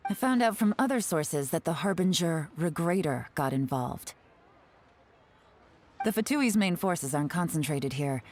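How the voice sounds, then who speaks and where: A woman's voice: deep voice, animated, unhurried, a little mellow, a little hiss or hum. A woman voicing a composed, calculating female spy in a video game, speaking in a wry, self-assured way. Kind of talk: game cutscene dialogue.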